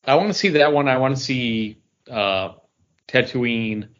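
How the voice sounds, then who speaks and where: A man's voice: medium pitch, unhurried, fairly bright tone, muffled recording. A man co-hosting a casual geek-culture chat, talking like a keen fan and correcting himself as he goes. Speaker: podcast co-host.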